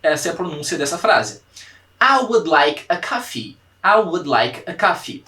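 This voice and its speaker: A young Brazilian man gives an online language lesson, his voice pitched a little high for a man. He repeats practice words slowly and keeps asking his students whether they follow. The sound is roomy and echoey, as if he stands some way from the mic. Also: sing-song, sharp tone, recorded from a distance. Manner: drills pronunciation by repeating words slowly, checks in with "tá, gente?". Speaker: language teacher. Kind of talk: online class lecture.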